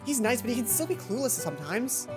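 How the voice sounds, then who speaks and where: A woman voicing a bubbly, encouraging female student in a visual novel, animated and emphatic. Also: on the high side, fast, pitched above the performer's own voice. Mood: earnest encouraging. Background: game music behind the voice.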